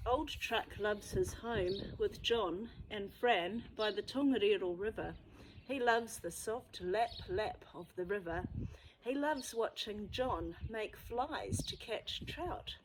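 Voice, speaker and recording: A woman reading a children's story aloud expressively, with deliberate, dramatic phrasing. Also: medium pitch, a little hiss or hum, poor audio. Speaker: storybook reader.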